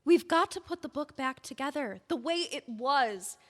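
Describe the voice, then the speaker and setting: A woman's voice: high voice, lively intonation, moderate pace, long reverb tail. A woman playing an anxious, pleading editor. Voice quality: pleading, urgent. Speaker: book editor. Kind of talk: audio drama acting.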